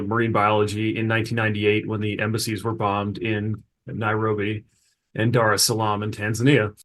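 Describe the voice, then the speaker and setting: A man in his forties, a paramedic guest on a podcast, recounting his career in a relaxed, conversational way with the odd filler. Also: medium pitch. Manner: conversational, steady, occasional fillers. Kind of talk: casual interview storytelling.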